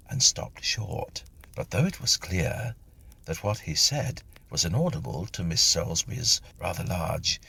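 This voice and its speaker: A man narrates a ghost story with theatrical care. His voice is deep and gravelly, with a bright, forward edge. He shapes each phrase precisely, like a practised literary storyteller.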